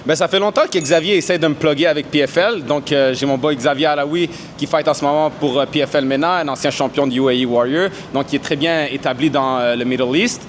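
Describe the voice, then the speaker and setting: Confident fighter, slang-heavy. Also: male voice, on the high side, fairly quick, few pauses, a little hiss or hum. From Canada. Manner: confident, mixes English slang into French. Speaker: MMA fighter. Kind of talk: casual interview answers. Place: boxing gym.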